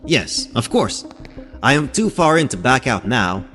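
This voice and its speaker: A reserved, earnest scientist resigned to his fate in an audio drama. His voice sits at an average male pitch with formal wording. Faint music runs behind him. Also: big pitch swings, measured pace, fairly bright tone.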